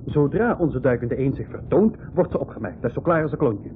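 A man with a Flemish accent playing an excitable, worrying young nephew in an adventure radio play. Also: medium pitch, brisk, a little hiss or hum, natural voice. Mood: concerned. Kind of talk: 1980s school radio play acting. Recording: thin, narrow old radio recording.

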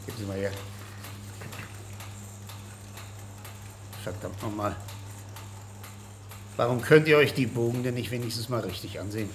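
An actor playing a gruff, sharp-minded lead researcher with a brusque, emphatic manner in a radio drama. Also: male voice, mid-range voice, brisk, natural voice. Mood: irritated. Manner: brusque, swearing, emphatic deduction. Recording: radio play with faint background noise.

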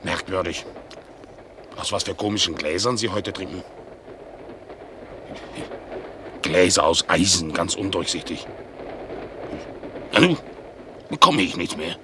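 A man voicing a naive, soft-hearted, greedy pet dog, earnest and pleading, in a radio play. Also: low voice, lively intonation, moderate pace, pauses often, noisy background. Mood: puzzled, teasing.

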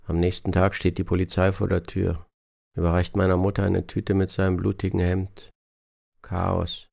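Radio play narrator-son: very fried low voice, clipped, detached, dry sound. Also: male voice, completely flat delivery, moderate pace, creaky, warm tone, dry recording. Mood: detached, terse. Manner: terse, matter-of-fact. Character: detached son recounting father's downfall in clipped fragments. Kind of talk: radio play monologue in telegraphic notes.

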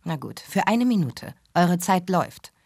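An assertive female phone-in host in a radio drama. Her voice sits fairly low, with a firm, articulate and indignant edge. The sound is dry and close. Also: big pitch swings, measured pace, vocal fry, darkish tone, breathy edge, dry recording, full character voice. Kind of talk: German radio drama acting.

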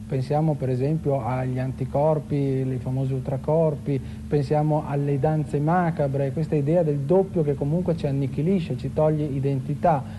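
Male expert, articulate explanatory interview delivery, slightly high voice over clearly noisy ambience. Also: steady tone, moderate pace, few pauses. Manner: articulate, lecturing. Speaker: expert commentator on biotechnology.

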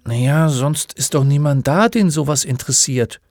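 A shy storybook schoolboy, performed by a man, meek and unsure of himself. The voice sits a little higher and softer, with hesitant phrasing and a very dry sound. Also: moderate pace, no echo. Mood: downcast. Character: shy, bullied, timid schoolboy. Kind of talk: storybook character voice.

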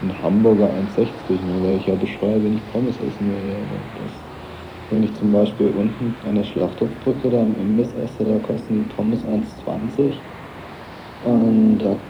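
A man's voice: on the low side, little pitch movement, normal speed. A man talking casually and colloquially in an interview, often ending phrases with a questioning tag. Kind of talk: casual street interview talk. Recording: dull noisy 1980s cassette interview.

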